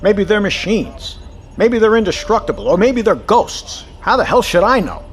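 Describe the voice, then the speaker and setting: An actor playing a gruff, sarcastic, exasperated submarine captain in an audio drama, with a profane, sardonic way of talking. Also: male voice, sits high, expressive, normal speed, a little hiss or hum.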